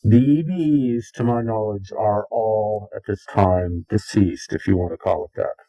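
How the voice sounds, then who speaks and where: A man giving interview testimony in a grave, deliberate, halting and portentous way. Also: fairly low pitch, steady tone, warm tone, dry recording, low-quality recording. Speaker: interviewee, claimed insider. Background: music.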